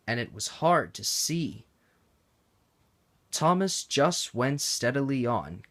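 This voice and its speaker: A man reading a children's storybook aloud, in an expressive, deliberate way. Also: mid-range voice. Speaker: read-aloud narrator.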